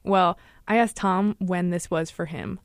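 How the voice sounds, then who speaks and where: A woman's voice: lowish voice, steady tone, no room sound, studio quality. A woman from the Chicago suburbs hosting a podcast, talking in a chatty, conversational way. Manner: chatty, conversational, quick to laugh. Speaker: podcast host. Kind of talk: casual podcast chat.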